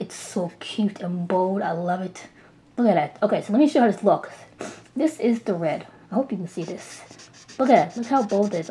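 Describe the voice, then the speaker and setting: A woman in her thirties with a slightly hoarse voice from a cold, gushing over art markers in a casual chatty demo at home, recorded on a camera mic. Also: mid-range voice, mellow. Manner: enthusiastic, gushing, chatty with restarts. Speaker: art supply reviewer. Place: small indoor room at home.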